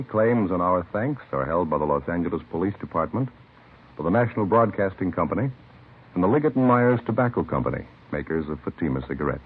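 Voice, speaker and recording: A husky American man announcing in a formal, scripted 1950s radio style, solemn and measured. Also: low-pitched. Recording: narrow-band vintage radio broadcast.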